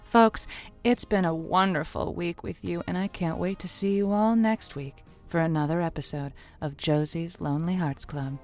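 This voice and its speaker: A woman playing a gushing late-night radio advice host who gives halting, theatrical pep talks in an audio drama. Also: lowish voice, darkish tone, faint music behind, lightly acted. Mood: fond sign-off. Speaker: radio call-in show host.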